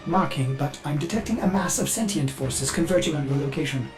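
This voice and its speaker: Male ship AI, game character, synthetic filter, lightly high pitch, anxious, deferential, formal, music behind. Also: expressive, brisk. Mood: urgent, anxious. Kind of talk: video game voice acting.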